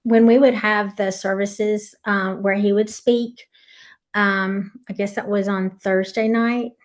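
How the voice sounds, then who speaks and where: A woman's voice: medium pitch, level tone, slow. A woman from Tennessee, a former camp leader, reminiscing as a podcast guest in an earnest, rambling way with frequent ums and restarts. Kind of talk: reminiscing interview.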